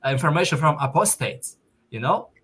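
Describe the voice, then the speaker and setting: A young man from Kazakhstan chatting as a guest on a call, in halting English full of fillers. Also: fairly high pitch, animated, fairly bright tone, breathy edge. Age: young adult. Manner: halting, filler-heavy non-native English. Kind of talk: casual video call chat.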